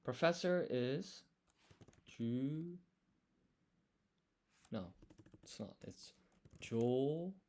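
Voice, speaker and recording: A man's voice: mid-range voice, lively intonation, drawn-out words, pauses often, warm tone. A man livestreaming casually as he studies, thinking aloud and sounding out words syllable by syllable. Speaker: live streamer studying Japanese. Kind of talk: casual live study chat.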